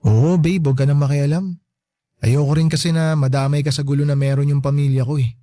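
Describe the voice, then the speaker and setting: A man's voice: on the high side, fairly even intonation, fairly quick, mellow, no room sound, clean studio sound, lightly acted. A young man with a Filipino accent acting a hurt, forgiving son and fiancé in a radio drama, earnest and resigned. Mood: earnest, tender. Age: young adult.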